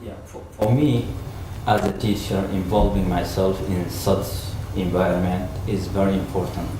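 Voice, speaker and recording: A man's voice: low-pitched, flat delivery, normal speed. A man who is a teacher, answering earnestly on a panel and repeating his points. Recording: echoey room, mic far off, noisy.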